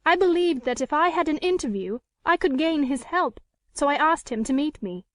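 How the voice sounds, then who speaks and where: Woman acting a high-voiced, proud, defiant lady. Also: animated, normal speed, dry recording. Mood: desperate, impassioned. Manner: indignant, emphatic, formal. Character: proud, defiant woman with an unhappy secret past. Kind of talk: dramatic audiobook character reading.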